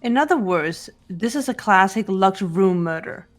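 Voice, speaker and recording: A woman voicing a composed, analytical teenage girl detective in a fan-voiced mystery game, speaking in a deliberate, precise way. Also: medium pitch, animated, soft background music, lightly acted. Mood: calm, analytical. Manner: deliberate, precise, lecturing delivery. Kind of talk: fan voice-over of a mystery visual novel.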